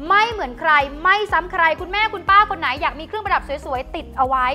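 Young female co-host, very high bright voice, upbeat selling, soft music under it. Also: animated, fast. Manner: enthusiastic, selling to viewers. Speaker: shopping show host. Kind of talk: TV shopping presentation. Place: TV studio.

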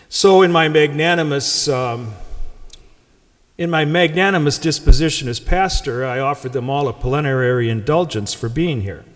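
Older male pastor, Upper Midwest American accent, wry and chatty at a lectern mic, mid-pitched, long church reverb. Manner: wry, conversational, joking. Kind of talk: Gospel reading and pastor's welcome remarks. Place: large church sanctuary. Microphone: lectern microphone.

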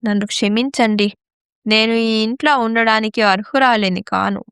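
High, clear, resentful young daughter-in-law acted by a woman. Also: steady tone, normal speed, a bit bright, dry recording, clean studio sound. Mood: remorseful. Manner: accusing, defiant.

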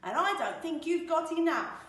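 A woman's voice: very high voice, lively intonation, normal speed, pitched above the performer's own voice. A woman voicing a small male piglet in a storybook rhyme, squealing and emphatic, in an echoey room. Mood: defiant. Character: small, high-pitched male piglet, pleading yet defiant. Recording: echoey room, distant mic.

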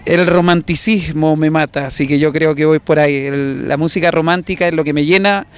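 A young Chilean man speaks in a smooth, fairly high voice. He is a singer giving a casual interview in an open plaza, picked up on a handheld reporter's mic. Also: steady tone, a little fast. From southern Chile. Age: young adult. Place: outdoors in an open public plaza. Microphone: handheld reporter microphone held by interviewer.

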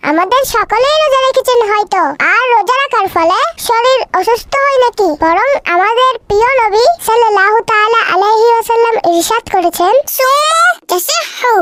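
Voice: very high voice, normal speed, a bit bright. A performer voicing a kind, pious older sister who speaks politely and instructively in a children's Islamic cartoon. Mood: gentle, instructive.

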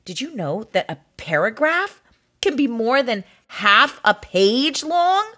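A woman's voice: on the high side, very animated, pushed and bright, heavily acted. A woman voicing a grumpy, exasperated child who hates reading, melodramatic and complaining, in a storybook read-aloud. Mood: disgusted, exasperated. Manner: melodramatic, complaining, drawn-out emphasis.

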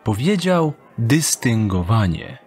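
A man narrating a scripted dark fantasy audio drama in a measured, deliberate storytelling manner. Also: mid-range voice, sing-song, breathy edge, soft background music.

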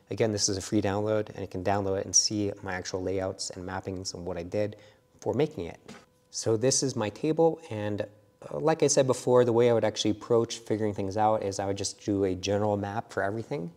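A middle-aged man with a fairly low voice explains a woodworking software workflow. He speaks in a relaxed, explanatory way and often fills gaps with "uh." A lapel mic picks him up inside his workshop. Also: fast talker. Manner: relaxed, explanatory, with frequent fillers. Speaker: woodworking instructor. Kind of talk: software tutorial walkthrough. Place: wood workshop indoors.

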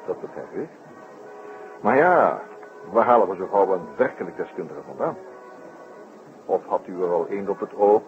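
A man playing a composed, courteous detective inspector with dry wit in a radio drama, in measured, polite phrasing. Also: sits low, animated, faint music behind. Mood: serious, probing. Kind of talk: old Dutch radio drama acting. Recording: dull, noisy old radio recording.

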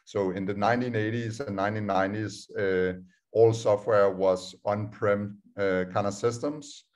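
A man's voice: on the low side, steady tone, moderate pace, darkish tone. A man in his thirties with a Danish accent, giving a casual online presentation on a video call, with many filler sounds as he explains. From Denmark. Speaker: startup co-founder giving a talk. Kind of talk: online presentation over screen share.

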